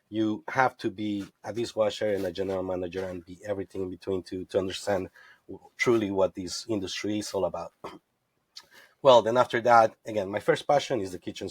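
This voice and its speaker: A lowish-voiced man from Ecuador recounts his path in the drinks trade as a podcast guest. He talks in an earnest, storytelling way, dotted with "you know". The sound is close and dry, with no room around it. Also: a little mellow, dry recording. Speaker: guest, tequila brand representative. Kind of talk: casual podcast interview.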